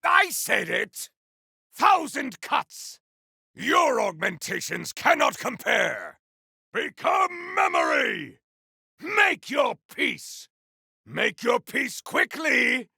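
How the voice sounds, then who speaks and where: A man voicing a proud, battle-hungry video game warrior, speaking in a theatrical, formal, declamatory manner with a gravelly, forceful edge. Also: extremely high pitch, very animated, drawn-out words, no room sound, clean studio sound, heavily acted. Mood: battle fury. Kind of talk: video game combat barks.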